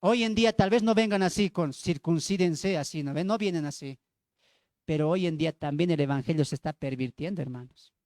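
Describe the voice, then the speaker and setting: Male evangelical preacher, Bolivian accent, high pitch, emphatic teaching style full of questions, dry sound. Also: a little fast, a little mellow, no room sound. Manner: emphatic, repeats phrases, asks rhetorical questions. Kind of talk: sermon with Bible teaching.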